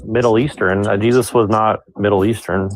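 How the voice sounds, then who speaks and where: A man's voice: on the low side, steady tone, normal speed, slight background noise, low-quality recording. A man calling into a live stream, questioning earnestly and hesitantly, often repeating his words. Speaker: caller. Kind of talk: earnest argument on a live call.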